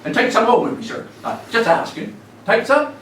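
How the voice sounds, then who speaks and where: Man acting a high-voiced rural English old wanderer. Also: animated, a bit bright. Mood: humble, eager. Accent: rural southern English, Hampshire. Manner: deferential, rambling, halting, folksy. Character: cheery, deferential old homeless wanderer, about seventy. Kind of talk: theatrical dramatic monologue. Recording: echoey room, distant mic, faint noise.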